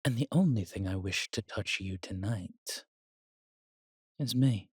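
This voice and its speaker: Man voicing a husky, courtly vampire seducer. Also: fairly low pitch, measured pace, a little mellow, no room sound, clean studio sound. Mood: reassuring, possessive. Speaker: voice actor in an audio drama. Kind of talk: intimate romantic audio roleplay addressed to the listener.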